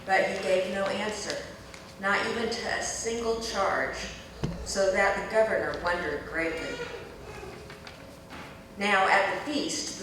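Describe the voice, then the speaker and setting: Female scripture narrator, measured public delivery, heard from afar in long church reverb with faint noise. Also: mid-range voice, a bit bright. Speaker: scripture reader. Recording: echoey church hall, distant mic, faint noise.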